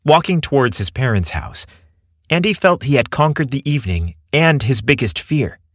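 A man's voice: mid-range voice, very animated, moderate pace, no room sound, clean studio sound. A man narrating a scripted romantic story as a storyteller.

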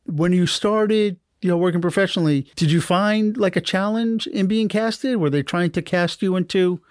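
A woman with a fairly low voice hosting an interview show. She listens closely and keeps the talk going with short murmurs of agreement. The sound is dry and close-miked. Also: moderate pace, a little mellow, dry recording. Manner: attentive, frequent affirming murmurs. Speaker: podcast host. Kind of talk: conversational interview.

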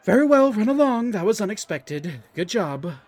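A smug half-elf ringmaster, put on by a man, calling for bets with showy flair and dry, snide asides. His voice is lifted high above the speaker's own and bright in tone. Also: big pitch swings, moderate pace, no echo. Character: smug male half-elf fight announcer.

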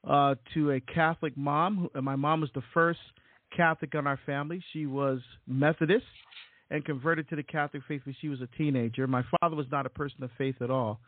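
A man's voice: a little high, fairly even intonation, brisk, no echo. A middle-aged man from Barbados, a deacon and author, telling his story as an interview guest with many fillers and repeats. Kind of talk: casual interview. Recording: tinny phone-like audio, dry.

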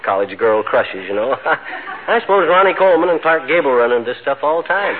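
An actor playing a vain, boastful middle-aged husband in a 1940s radio comedy. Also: male voice, fairly high pitch, lively intonation, fairly quick, a little hiss or hum, natural voice. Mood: falsely modest. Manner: boastful, falsely modest. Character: vain, blustering middle-aged husband fancying himself irresistible. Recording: tinny 1940s radio recording.

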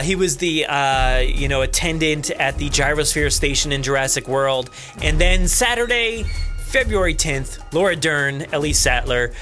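A man's voice: a little high, bright, forceful tone. A man with a New Jersey accent hosting a podcast in a casual, rambling, jokey and self-deprecating way. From New Jersey, USA. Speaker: podcast host.

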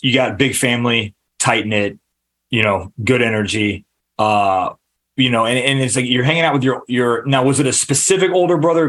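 A man hosting a podcast interview, asking long, halting questions full of restarts and fillers. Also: mid-range voice, fairly bright tone. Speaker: podcast host. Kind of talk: casual interview.

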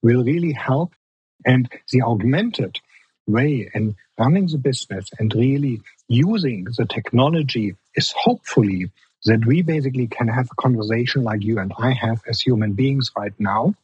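A man's voice: mid-range voice, expressive, moderate pace, darkish tone, lo-fi sound, a little hiss or hum. A man with a strong German accent, a guest in a podcast interview, speaking earnestly and leaning on the word "basically" as he restarts phrases.

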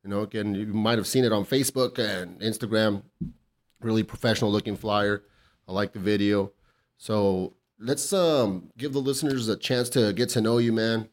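A man's voice: on the low side, moderate pace. A man hosting a laid-back podcast, chatting casually in slangy, sweary talk and often chiming in with agreement. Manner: encouraging, often interjects agreement. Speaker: podcast host.

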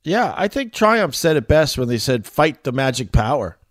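A man's voice: medium pitch, very animated, moderate pace, no room sound, studio quality. A man with an American accent and a slightly nasal voice, hosting a casual, joking chat. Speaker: host, interviewer.

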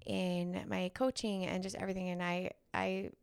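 A woman's voice: on the low side, little pitch movement, moderate pace, vocal fry, clean tone, dry recording. A woman in her thirties from Minnesota, co-hosting a casual podcast chat in a candid, emphatic way. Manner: candid, emphatic, restarts mid-sentence. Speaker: podcast co-host.

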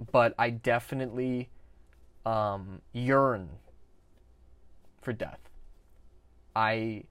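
A man hosting a podcast, talking candidly and quietly, with frequent stuttered repetitions. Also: medium pitch, no room sound. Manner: stutters with sound repetitions, soft and confessional. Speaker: podcast host.

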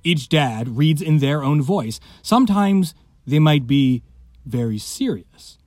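A man's voice: a little high, expressive, slow, a little mellow, dry recording, studio quality. A man reading a scripted podcast introduction as host, with playful emphasis on words.

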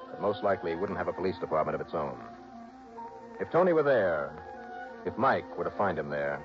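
Dull old radio sound carries a brisk, hard-boiled American investigator in a 1960s detective serial. His lowish voice swings widely and animatedly in pitch, with punchy, clipped phrasing and music around it. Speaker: lead actor and narrator of a radio detective serial. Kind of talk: 1960s radio drama acting.

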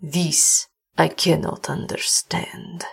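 A woman voicing a grim old manservant who speaks slowly and solemnly in a foreboding gothic tale. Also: sits low, fairly even intonation, vocal fry, husky, full character voice. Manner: slow, solemn, grave.

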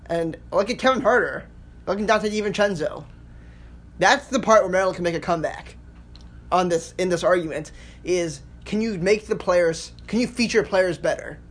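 A man's voice: high voice, normal speed, fairly bright tone. An American man co-hosting a sports podcast, making his case in a casual back-and-forth debate.

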